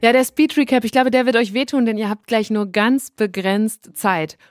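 A woman co-hosting a casual quiz podcast, explaining the rules in a lively way. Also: on the high side, normal speed, faint music behind. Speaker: podcast co-host. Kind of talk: casual quiz show chat.

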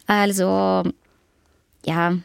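A woman author with a Ukrainian accent, Russian as her first language, speaking German thoughtfully in an interview with many pauses and fillers. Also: medium pitch, little pitch movement, vocal fry, smooth, no room sound. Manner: thoughtful, hesitant, with frequent fillers. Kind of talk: interview conversation.

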